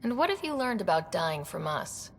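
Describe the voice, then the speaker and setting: An actress playing a composed, supportive expedition leader in a video game, conversational and understated with a gravelly edge. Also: female voice, fairly low pitch, very animated, moderate pace, in a large hall, a little hiss or hum. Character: composed, supportive young woman expedition leader with dry wit.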